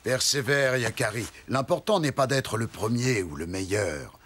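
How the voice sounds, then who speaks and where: A man playing a calm, wise and kindly father with a husky voice in a French cartoon. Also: mid-range voice, normal speed, a little hiss or hum, lightly acted. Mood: calm, encouraging.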